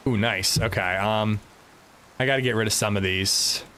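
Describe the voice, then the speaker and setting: A man's voice: lowish voice, normal speed, pushed and bright, a little hiss or hum. A man streaming a video game, chatting casually with his chat in a rambling, chatty way and swearing freely. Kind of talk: casual livestream chat while gaming.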